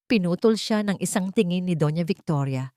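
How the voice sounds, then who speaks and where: A woman's voice: on the low side, animated, a little fast, mellow, no room sound, studio quality. A woman narrator reading a scripted dramatic love story.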